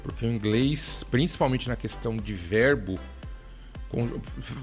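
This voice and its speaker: A man's voice: mid-range voice, unhurried, soft background music. A man co-hosting a casual chat, joking and self-deprecating as he shares opinions. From Brazil.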